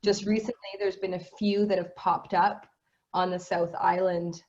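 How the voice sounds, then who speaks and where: A woman's voice: on the low side, level tone, normal speed, darkish tone, highs cut off. A woman who works as a university recruitment officer, speaking conversationally with many fillers on a webinar. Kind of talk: webinar presentation.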